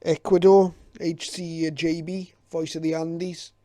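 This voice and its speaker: Even-toned mellow man, casually presenting. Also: on the high side, slow, dry recording. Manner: hesitant, reflective, informative. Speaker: hobbyist presenter. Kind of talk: casual unscripted commentary while leafing through a guide.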